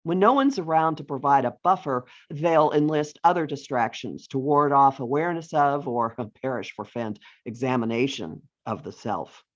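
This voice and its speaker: A middle-aged or older woman reading her own humorous essay aloud with deliberate phrasing and wry emphasis, as an author on a video call. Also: rumbling low, lively intonation. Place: virtual author talk over video call. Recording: dull, lo-fi online call audio.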